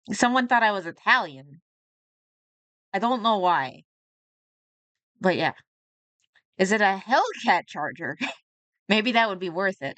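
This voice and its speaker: Young woman streamer, chatty and self-mocking, resonant and crisp, recorded dry and close. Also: medium pitch, fairly bright tone, no room sound. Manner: chatty, self-deprecating, laughs often. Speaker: streamer reacting to videos. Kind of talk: casual live-stream chat.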